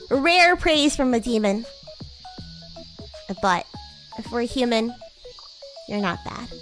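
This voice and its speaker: Woman performing bratty demon schoolgirl, high pitch, slow halting delivery, teasing, haughty, secretly sweet, anime-style roleplay stream. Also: a bit bright, poor audio. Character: bratty flustered teenage demon girl class president, secretly fond. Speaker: VTuber streamer. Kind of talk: livestream roleplay, anime-like character acting.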